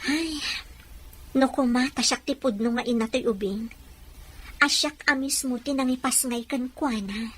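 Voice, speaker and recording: Actress as high-voiced Ilocano wife in a drama. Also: female voice, unhurried, husky. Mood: soft, affectionate. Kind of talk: serial radio-style drama in Ilocano.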